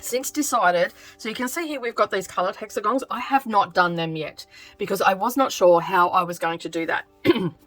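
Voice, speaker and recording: A woman with a croaky voice chatting casually as she sews, thinking aloud with lots of ums. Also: medium pitch, moderate pace, fairly bright tone, soft background music, poor audio. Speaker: craft vlogger. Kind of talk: casual craft vlog chat.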